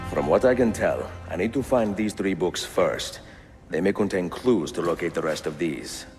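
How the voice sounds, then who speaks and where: An actor playing a suave, confident older Italian adventurer in a game cutscene, with an Italian accent and measured delivery. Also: male voice, deep voice, darkish tone, in a large hall, natural voice. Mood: measured, intent. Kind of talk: dramatic video game cutscene acting. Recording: music behind the voice.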